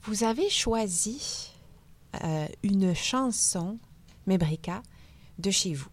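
She is a woman hosting a radio interview. Her voice is of average pitch, and she speaks in a conversational way. Her manner is attentive and encouraging as she guides the exchange. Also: lively intonation, slow. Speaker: radio show host.

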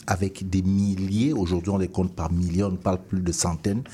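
A man hosting a conversational interview, talking in an animated, digressive way and circling back to rephrase. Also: low-pitched, fairly quick, a little hiss or hum. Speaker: host interviewing a guest.

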